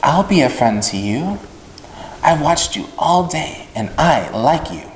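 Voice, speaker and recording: A man's voice: fairly high pitch, sing-song, measured pace, pitched above the performer's own voice. A man voicing a small, kindly storybook creature with a thin, pleasant voice and a reassuring manner. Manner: gentle, reassuring. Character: small, kindly unseen creature befriending in darkness. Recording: echoey room with long reverb.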